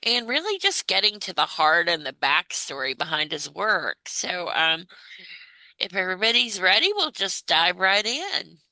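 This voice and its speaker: A woman hosts a conversational interview in a low but strikingly bright, forward voice. The sound is close and dry, and her questioning is informal and attentive. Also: big pitch swings, measured pace, dry recording, low-quality recording. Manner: informal, attentive, acknowledges answers before asking.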